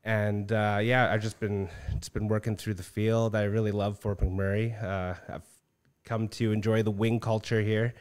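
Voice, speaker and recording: A man in his thirties with a lowish, smooth and clean voice, talking casually into a studio mic. He comes across as earnest, with plenty of "uh" and a habit of tagging "right?" onto his sentences. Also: flat delivery, normal speed, darkish tone. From northern Saskatchewan, Canada. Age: 30s. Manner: earnest, often tags "right?" and "uh". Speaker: guest, council candidate. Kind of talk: casual interview chat. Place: small studio room with armchairs. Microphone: boom-mounted studio mic.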